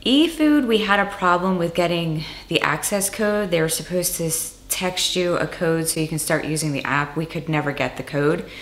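A woman's voice: lowish voice, moderate pace, few pauses. A woman, talking casually to camera as a travel vlogger indoors. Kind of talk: casual vlog talk to camera. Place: indoors in a small apartment. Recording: echoey rooms, some distant with noise.

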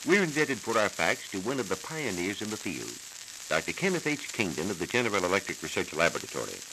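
American man, lowish pitch, animated intonation, formal science reporter, scripted 1940s radio delivery, measured, didactic. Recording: hissy, crackly 1940s transcription disc.

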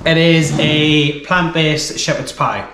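A man's voice: fairly high pitch, takes it slowly, pushed and bright, slight background noise. A man in his thirties with an English accent, chatting casually to camera in a vlog and explaining things as he goes, recorded in a small home kitchen on the camera's mic. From England. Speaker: running vlogger. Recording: echoey kitchen room sound.

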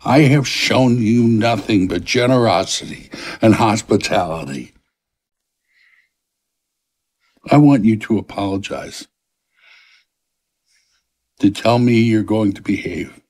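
An actor playing a domineering, paranoid captor with an explosive temper and a menacing, confrontational manner in a film drama. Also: male voice, on the low side, measured pace, a little mellow.

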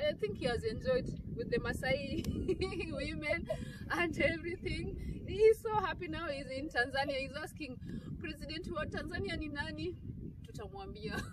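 A woman with a Kenyan accent vlogging a road trip outdoors, chatty and laughing often as she talks straight to her viewers. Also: high voice. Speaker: travel vlogger. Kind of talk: casual travel vlog narration. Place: outdoors by a roadside market. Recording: loud outdoor noise.

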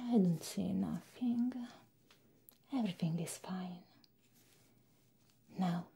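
A woman playing a gentle, attentive hospital nurse in an ASMR roleplay, speaking in a soft, breathy, reassuring whisper. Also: medium pitch, exaggerated voice. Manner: slow, hushed, reassuring, with pauses.

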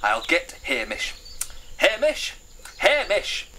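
A man's voice: medium pitch, measured pace, bright, forceful tone, slight background noise, pitched above the performer's own voice. A man voicing an eager, bossy female storybook character, blunt and emphatic, with a Northern English accent.

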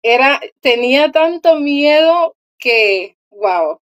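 A woman's voice: sits high, expressive, slow pace, lo-fi sound. A woman in her thirties from Cuba, a guest on a video call who tells her story cheerfully, laughing often and trailing off with fillers. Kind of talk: casual chat, telling her experience. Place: small indoor room. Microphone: video call, laptop or phone camera.

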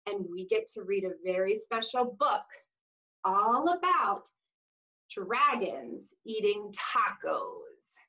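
A woman in her thirties reading a picture book aloud to young children, expressively, with exaggerated emphasis and drawn-out words. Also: mid-range voice, lively intonation, pushed and bright, lo-fi sound. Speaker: children's storytime reader. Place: small room indoors, seated on floor.

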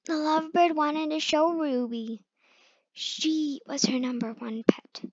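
Female picture-book reader, unhurried and a little halting, in a muffled, echoey room. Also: sits high. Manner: halting, stumbles over hard words. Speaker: read-aloud storyteller. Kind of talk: reading a children's picture book aloud.

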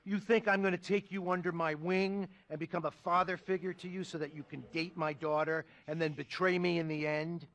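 A man's voice: a little high, fairly even intonation, a little fast, hardly any pauses, fairly bright tone. A man playing a rich, ruthless, cynical finance mentor in a satirical video game movie, pompous and contemptuous. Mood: brusque, contemptuous. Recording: music under the dialogue.

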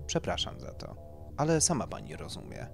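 A man's voice: sits low, wildly swooping pitch, normal speed, gravelly, over music, voice pushed down, full character voice. A man voicing a polite female clinic receptionist with rehearsed courtesy in an audio story. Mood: businesslike. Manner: courteous, unconvinced customer-service politeness.

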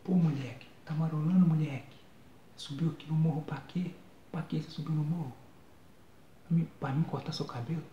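A man's voice: fairly high pitch, steady tone, a little fast, warm tone, highs cut off. A young Brazilian man doing an ASMR roleplay in agitated, slangy street talk. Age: young adult. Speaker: ASMR creator. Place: small bare bedroom, indoors. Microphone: phone used as microphone, close in front. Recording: roomy, noisy phone recording with clicks.

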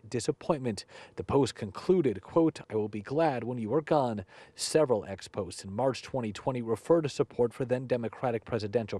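A young man narrating a TV news story in a measured, clear reading voice. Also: mid-range voice, warm tone, dry recording. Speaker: news reporter.

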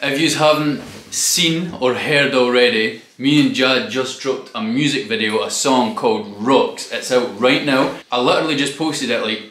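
A man's voice: medium pitch, normal speed, hardly any pauses, fairly bright tone, roomy sound. A young man in his twenties with a Scottish accent, hosting a casual chat and talking in broad dialect slang. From Scotland. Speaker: host. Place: home studio room.

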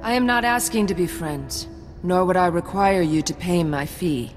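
An actress playing a grave, honor-bound alien bounty hunter in a video game, speaking formally and deliberately. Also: female voice, lowish voice, faint music behind, lightly acted. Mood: resigned, stoic. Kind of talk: dramatic video game acting.

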